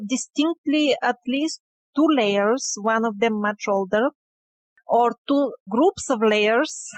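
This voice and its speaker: High-pitched woman explaining in an interview. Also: poor audio. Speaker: interview guest, independent researcher. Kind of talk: conversational interview, explaining field findings.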